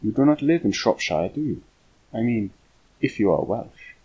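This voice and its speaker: A man's voice: lowish voice, animated, normal speed, warm tone, breathy edge, rough recording. A man reading as a curious, hesitant little boy. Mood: wary, hesitant. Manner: questioning, tentative. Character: curious, hesitant nine-year-old English boy.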